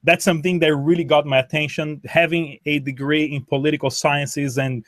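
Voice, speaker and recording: A Brazilian-born man whose first language is Portuguese, speaking as a guest in an interview, halting with frequent restarts and repeated words. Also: a little high, little pitch movement, fairly bright tone, no echo, studio quality. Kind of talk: conversational interview.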